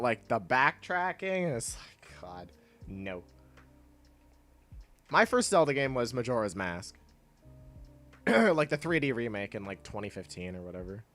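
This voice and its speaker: Lively young man with a stuffy nose. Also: medium pitch. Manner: rambling, self-interrupting, laughs often. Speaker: streamer. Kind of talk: casual livestream chat, reading and answering chat.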